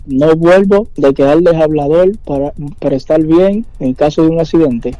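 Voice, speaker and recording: A man's voice: fairly high pitch, normal speed, mellow, dry recording. A man answering questions on a phone call, earnest and hesitant, repeating phrases. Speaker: prank call victim.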